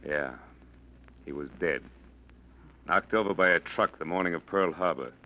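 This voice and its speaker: A man's voice: sits low, normal speed, natural voice. A man playing a tough, cold FBI agent. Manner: clipped, commanding, tough-guy talk. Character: hard-bitten male FBI agent, blunt and cold. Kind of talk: 1940s radio acting. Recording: dull lo-fi old radio broadcast.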